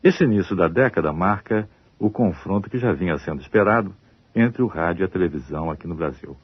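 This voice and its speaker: A man with a Brazilian accent giving measured, expository historical commentary as a broadcaster. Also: low voice, lively intonation, slightly rough, no echo. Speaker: radio researcher and broadcaster. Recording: dull, dry old radio recording.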